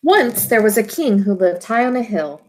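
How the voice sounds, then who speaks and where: A woman teacher reading a children's storybook aloud, expressively and in simple, deliberate phrases for young listeners. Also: on the high side, lively intonation.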